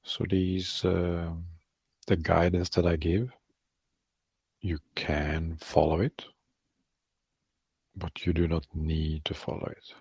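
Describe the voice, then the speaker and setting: Slow, very low, gravelly man guiding meditation. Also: no room sound. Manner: calm, halting, with long pauses between short phrases. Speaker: meditation guide. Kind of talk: guided meditation, softly spoken instruction.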